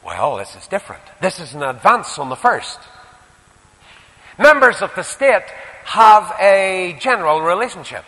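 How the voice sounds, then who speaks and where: A man with a Northern Irish accent preaching a sermon, putting rhetorical questions and moving between emphatic rises and quieter asides. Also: high voice, sing-song, a little slow. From Northern Ireland. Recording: echoey hall with long reverb.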